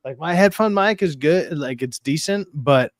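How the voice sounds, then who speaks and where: A man with a low voice that does not carry, chatting casually and profanely on a live stream. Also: expressive, normal speed, dry recording, studio quality. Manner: profane, rambling with restarts. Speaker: streamer co-host.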